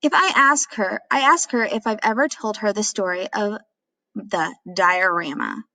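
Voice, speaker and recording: A woman reading a story aloud like a teacher, expressively, with clear explanatory asides. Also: mid-range voice, a little slow, sharp tone, no echo.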